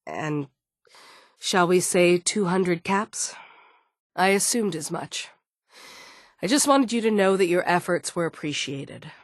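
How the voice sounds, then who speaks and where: A woman's voice: fairly low pitch, lively intonation, slow pace, pauses often, dry recording, studio quality. A woman voicing a stern, guarded android security chief in a video game, firm and businesslike. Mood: firm, curt. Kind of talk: scripted game dialogue.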